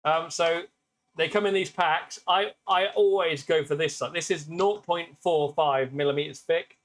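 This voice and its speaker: Upbeat British man with a loud voice. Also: fairly high pitch, moderate pace. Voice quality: naturally loud voice. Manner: chatty, upbeat, greets viewers by name. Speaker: live stream host. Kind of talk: casual live stream demo and Q&A. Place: workshop with laser engravers.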